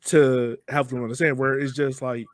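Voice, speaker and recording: A man's voice: mid-range voice, flat delivery, normal speed, no room sound. A man hosting a podcast interview, talking in a casual, conversational style. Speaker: podcast host.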